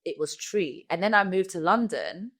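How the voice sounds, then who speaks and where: A woman hosting a comedy podcast, chatting casually with playful teasing and drawn-out words. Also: lowish voice, lively intonation, a bit bright. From Ghana. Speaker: podcast host. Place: studio podcast.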